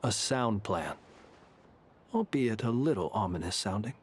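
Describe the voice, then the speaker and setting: An actor voicing an unflappable, wry and courteous gentleman adventurer in a video game. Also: fairly low pitch, animated, husky. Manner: measured, courteous, drily nonchalant. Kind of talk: English video game voice acting. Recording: game audio with faint music.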